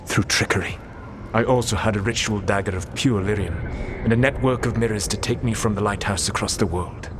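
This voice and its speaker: An actor playing an ancient male elven rebel god, measured and precise, with dry sarcasm. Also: lowish voice, lightly acted. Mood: dry, mocking. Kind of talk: video game dialogue. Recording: game audio with steady background noise.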